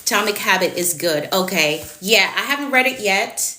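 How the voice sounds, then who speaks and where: A woman's voice: mid-range voice, animated, moderate pace, echoey room, background noise. A woman in her late thirties with a Jamaican accent, talking casually and directly as a coach on a live stream. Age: 30s. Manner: chatty, direct, teacherly. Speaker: productivity coach.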